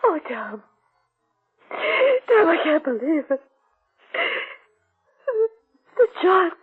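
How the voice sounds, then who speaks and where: Grieving young widow, high and unhurried, breathless anguish, vintage radio thriller. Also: female voice, very animated, full character voice. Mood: shocked grief. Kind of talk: 1940s radio acting. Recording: old muffled radio recording with music.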